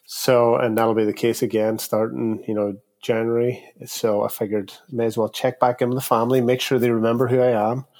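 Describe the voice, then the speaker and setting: A man's voice: mid-range voice, little pitch movement, fairly quick, hardly any pauses, a little mellow. A man with a Northern Irish accent chatting casually and telling stories in a joking, self-deprecating way. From Derry, north of Ireland. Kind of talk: casual conversational storytelling.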